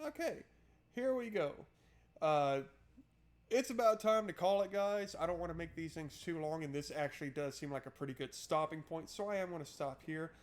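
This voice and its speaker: A man's voice: on the high side, normal speed. A man doing casual, joking playthrough commentary and reading game narration aloud in an informal way. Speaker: game streamer.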